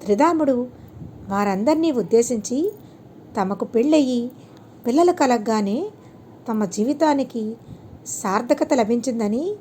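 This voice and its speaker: Female storyteller for a moral-tale audiobook: fairly high, soft-toned voice, measured and expressive, faint noise behind. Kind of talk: scripted narration of a moral story.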